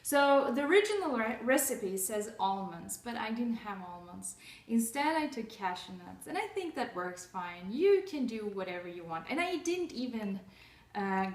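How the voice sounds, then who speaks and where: A woman's voice: mid-range voice, expressive, normal speed. A young woman from Russia demonstrates a recipe in her home kitchen, chatting casually with lots of fillers and restarts. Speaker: cooking vlogger. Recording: echoey kitchen, voice far from mic.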